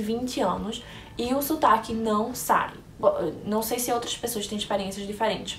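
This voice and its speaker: A young woman from Brazil chatting casually to camera, answering viewers' questions and thinking aloud with frequent restarts. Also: mid-range voice. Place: indoor room in Orlando under bright lights. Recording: echoey room, voice a bit far from the mic, faint music behind.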